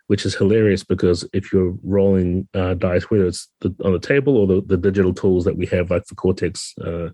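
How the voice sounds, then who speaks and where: A man's voice: sits low, warm tone, slightly rough, dry recording. A man with a New Zealand accent explaining things conversationally as a guest in a casual podcast interview. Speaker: podcast guest, tabletop game designer.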